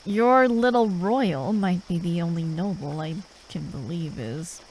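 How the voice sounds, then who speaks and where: Sardonic female fantasy assassin woman actor, gruff and unhurried, addressing the listener in ASMR roleplay, faint cricket ambience behind. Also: fairly low pitch, a little mellow, a little hiss or hum, rough recording. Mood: wry, reflective. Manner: sarcastic, drawling, sweary, with sighs.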